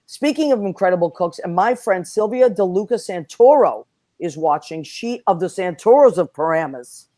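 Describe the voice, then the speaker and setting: A woman hosting a casual online talk show, whose first language is Italian, chatting and giving friendly shout-outs with plenty of fillers. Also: on the low side, animated, moderate pace, dry recording. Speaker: host. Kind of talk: casual chat.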